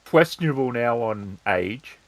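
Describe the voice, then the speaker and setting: A man runs a tabletop role-playing game as storyteller. His mid-pitched voice moves around a lot as he casually improvises details, and the recording is close and dry. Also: expressive, a little slow, dry recording.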